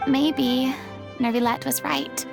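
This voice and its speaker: A woman's voice: sits high, steady tone, takes it slowly, creaky, music in the background, heavily acted. A woman playing a poised young retired stage star in a video game, eloquent, genteel and slightly dramatic. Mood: wry, reflective.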